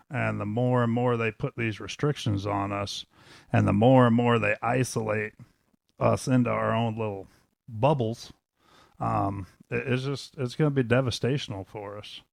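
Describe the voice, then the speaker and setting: Male guest, low gravelly voice with fry, hesitant casual commentary, dry sound. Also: dry recording. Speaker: podcast guest.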